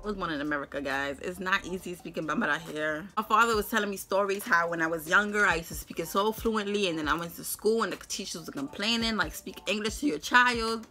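A woman's voice: on the low side, fast, few pauses, pushed and bright. A young woman from Brooklyn, chatting to camera in her bedroom, playful and slangy, laughing often. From Brooklyn, New York. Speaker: vlogger. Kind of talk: casual chat to camera. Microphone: camera in front of her.

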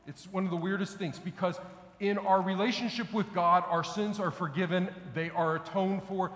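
A man's voice: a little high, fairly bright tone. A middle-aged man, preaching a sermon in a conversational way to his congregation in a large church sanctuary, asking them questions as he walks about. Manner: conversational preaching, asks the congregation questions, gestures with raised hands. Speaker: pastor. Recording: echoey church hall, faint ambience.

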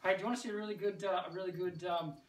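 A man's voice: sits high, steady tone, rapid-fire. A middle-aged man teaching martial arts moves in a casual, playful way while moving about, punctuating his instructions with sound words. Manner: physically active while talking. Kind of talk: hands-on demonstration, casual teaching. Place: covered brick veranda outdoors. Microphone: camera several metres away. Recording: distant camera mic, roomy, noisy.

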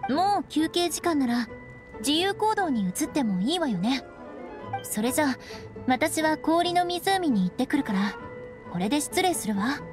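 A proud young lady knight speaks in a high voice with expressive turns of pitch. She is cool, composed and aloof, in anime game style, with music clearly behind her. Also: measured pace. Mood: haughty. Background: background music.

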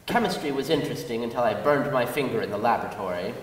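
Young male stage reader, animated pitch, scripted dramatic delivery, far from the mic in a hall. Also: fast talker, background noise. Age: young adult. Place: large wooden concert hall stage. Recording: echoey hall, distant mic.

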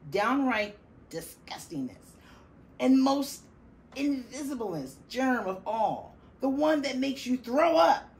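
A middle-aged woman reading a children's picture book aloud, deliberately and with dramatic stress on key words. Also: medium pitch, a little mellow. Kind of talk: expressive children's storybook reading. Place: small classroom, indoors. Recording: faint room noise behind the voice.

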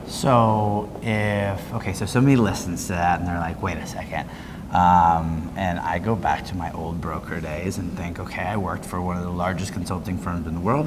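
Low male voice, forties, conversational interviewer, explanatory, checking in often, clear background noise. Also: fairly quick. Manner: explanatory, punctuated with "right?" checks. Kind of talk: conversational on-camera business interview. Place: hotel conference corridor, indoors. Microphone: clip-on wireless lapel mic.

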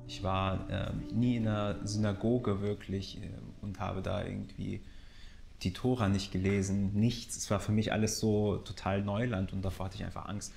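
A man's voice: on the low side, level tone, moderate pace, creaky, a little mellow, soft background music. A man giving colloquial, reflective personal testimony, restating phrases as he thinks aloud. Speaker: interviewee, actor.

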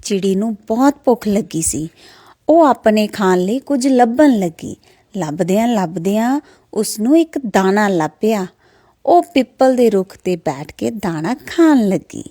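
Slow, lively woman storyteller. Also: mid-range voice, no echo, studio quality. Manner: expressive, singsong, repetitive rhythmic delivery. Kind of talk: children's folk tale narration with sing-song refrains.

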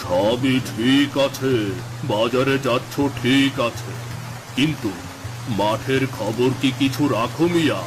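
A man plays an elderly village uncle from a Bengali cartoon. He draws his words out slowly and gravely, in a fairly low voice, with music clearly behind him. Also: close to an everyday voice, rough recording. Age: older. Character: slow-talking old village uncle bearing grim news.

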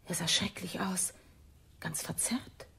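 Breathy, uneasy witness. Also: female voice, on the low side, takes it slowly, sharp tone, whispered, exaggerated voice. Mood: uneasy, hushed. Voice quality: breathy, husky. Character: uneasy female witness, dog owner, keen to leave. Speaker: actress in a crime radio play. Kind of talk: German radio drama acting.

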